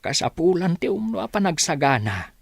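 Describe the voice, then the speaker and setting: A man's voice: fairly high pitch, sing-song, normal speed, creaky, slightly rough, no echo, heavily acted. An actor with an Ilocano accent playing a cautious, worried village father in a radio drama.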